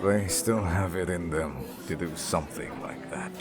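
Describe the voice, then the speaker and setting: A man's voice: deep voice, music in the background, lightly acted. A man acting in an audio roleplay as a stern, unyielding middle-aged father who hunts monsters, speaking in a righteous, disapproving manner. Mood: stern, insistent. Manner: righteous, slow and measured, disapproving.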